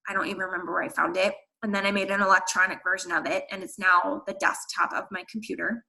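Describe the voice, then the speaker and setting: A woman's voice: mid-range voice, fairly even intonation, fast, vocal fry, pushed and bright, poor audio. A woman giving an earnest, candid motivational talk to her sales team, encouraging them and using casual fillers. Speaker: guest speaker at a team training.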